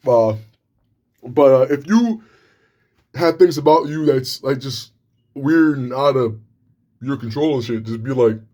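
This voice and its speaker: Young male vlogger, deep, slightly slurred delivery, loose and frank, swears freely. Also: unhurried, long pauses, dark tone. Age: young adult. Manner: rambling, frank, profane, many restarts. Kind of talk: casual talk to camera.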